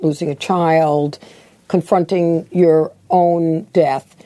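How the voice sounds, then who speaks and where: A woman's voice: lowish voice, mellow, no echo. A woman hosting an interview podcast, presenting and asking thoughtful questions.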